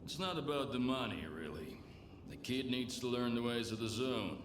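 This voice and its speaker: A man playing a gruff, animated bartender. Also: mid-range voice, moderate pace, lightly acted. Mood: shrewd. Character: world-weary, pragmatic male bartender in a lawless zone. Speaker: bartender character. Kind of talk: video game dialogue. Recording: roomy echo with noisy game ambience.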